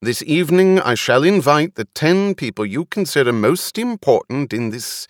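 A man voicing a resolute noblewoman who rules, speaking in a formal, deliberate and authoritative manner in an audiobook. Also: deep voice, animated, fairly bright tone, dry recording, studio quality, exaggerated voice. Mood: stern, commanding. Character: stern, commanding noblewoman ruler, measured and resolute.

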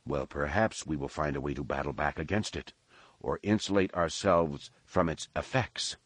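Man doing a cold, deep-voiced alien warrior. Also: no echo. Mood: coldly menacing. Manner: calm, cold, deliberate. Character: menacing male alien warrior disguised as a soldier.

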